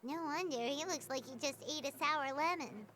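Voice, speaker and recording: A woman voicing a tiny, excitable, childlike female fairy companion in a video game, with a squeaky, perky delivery. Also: moderate pace, very noisy, close to an everyday voice. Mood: chirpy. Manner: perky, speaks of self in third person. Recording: game music behind the voice.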